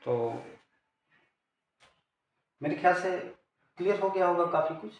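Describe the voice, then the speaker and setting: Higher-voiced Indian man teaching, echoey room. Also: little pitch movement. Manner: explanatory, repeats key terms slowly. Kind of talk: informal technical lesson. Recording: echoey room, background noise.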